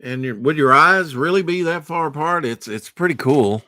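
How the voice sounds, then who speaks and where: A man's voice: fairly high pitch, normal speed, pushed and bright, no room sound, studio quality. A man hosting a late-night storytime, reading a storybook aloud and breaking off into rambling, sarcastic asides. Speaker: storytime host.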